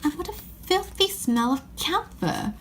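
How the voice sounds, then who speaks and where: A woman's voice: sits high, very animated, a little slow, slight background noise, pitched above the performer's own voice. A woman voicing a sulky, resentful younger schoolboy in a children's story, grumbling and haughty. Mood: disgusted.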